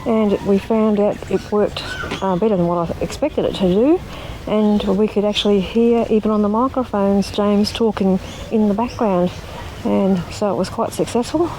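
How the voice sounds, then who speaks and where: Plain-spoken woman, mellow, some outdoor noise. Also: mid-range voice, fairly even intonation, normal speed, low-quality recording. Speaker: presenter of a recumbent trike vlog. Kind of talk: casual explanatory demonstration and chat.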